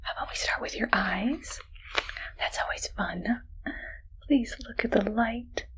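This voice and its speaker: Slow whispering evil woman scientist, acted out. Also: on the high side, rough recording. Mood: sweetly sinister. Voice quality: breathy whisper. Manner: slow, soft, playful, coaxing. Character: friendly but evil woman scientist, doting and sinister. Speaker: ASMR roleplay performer. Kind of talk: whispered ASMR medical roleplay.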